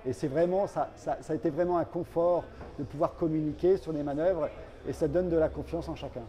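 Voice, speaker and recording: A male company representative with a soft, mellow tone set a little high. He explains products as an interview guest, feeling his way through sentences and often repeating a word. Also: fairly even intonation, brisk.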